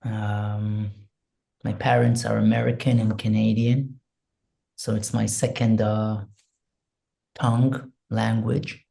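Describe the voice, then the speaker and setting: Israeli man, second-language English, slow and gravelly, dark mellow tone, meditative interview guest. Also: fairly low pitch, level tone. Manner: soft, hesitant, searching for words, meditative. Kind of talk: slow reflective interview talk with a spoken prayer.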